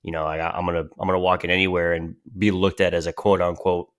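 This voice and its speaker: A man's voice: low voice, flat delivery, quick pace, clear, resonant tone. A man hosting a podcast, responding to his guest in a reflective, thoughtful way. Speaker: podcast host. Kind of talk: casual podcast chat.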